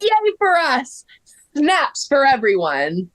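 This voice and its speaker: Woman playing plucky sleuth, eager and upbeat, highish voice with animated swings, table-read mystery. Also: takes it slowly, heavily acted, low-quality recording. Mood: cheerful.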